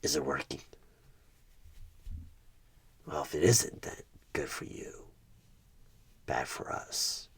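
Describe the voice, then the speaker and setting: An extremely low, sinister male demon conducts a job interview as a horror roleplay. The voice is a slow, husky growl that slips into breathy whispers. The delivery is deliberate, menacing and manipulative, a tempter pressing a corrupt deal. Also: exaggerated voice. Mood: taunting, persuasive. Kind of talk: one-sided horror ASMR audio roleplay.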